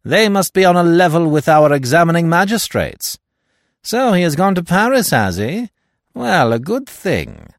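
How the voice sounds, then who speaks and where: A man's voice: fairly high pitch, big pitch swings, moderate pace, dry recording, studio quality, voice pushed up. A man playing an arrogant male police detective who speaks rudely and with contempt. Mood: scornful. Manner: rude and disdainful.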